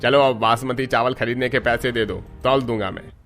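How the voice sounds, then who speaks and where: A man's voice: mid-range voice, fairly even intonation, brisk, fairly bright tone, soft background music, natural voice. A man playing a rude, miserly grocer who scorns poor customers, in a cartoon story. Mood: sneering, scolding. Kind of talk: Hindi cartoon story voice acting.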